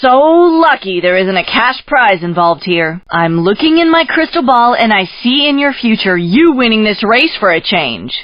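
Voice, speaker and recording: A woman's voice: a little high, dry recording, highs cut off. A woman playing a sassy, upbeat race announcer in a video game, teasing the driver with playful sarcasm. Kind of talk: scripted video game commentary.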